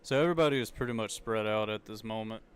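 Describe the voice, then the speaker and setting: A man's voice: lowish voice, fast, a little hiss or hum. A young man with a Southern US accent giving casual, chatty live commentary on a car race, with loose slang. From American South. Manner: chatty, loose, laughs often, says dude and like.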